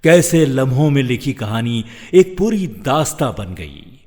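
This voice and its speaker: A middle-aged man reading scripted voice-over in a measured, dramatic way. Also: medium pitch, big pitch swings, a little mellow, long reverb tail. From India. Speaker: professional voice-over artist. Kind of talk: scripted voice-over for commercials and narration.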